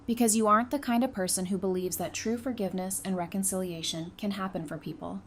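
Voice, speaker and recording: Casting: actress for direct, wry, caring female guard in radio-style drama; medium pitch, light noise behind. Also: fairly even intonation, fast, lightly acted. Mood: frank, knowing. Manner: dry, direct. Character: frank, dry-witted woman guarding a captive, quietly caring. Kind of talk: audio drama acting. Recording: faint background noise.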